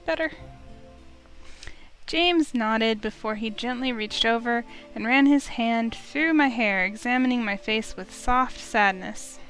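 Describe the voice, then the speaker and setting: Female let's play host, fairly high voice, reads and presents a visual novel over soft game music. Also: slow pace, rough recording. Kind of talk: casual intro and read-aloud story narration.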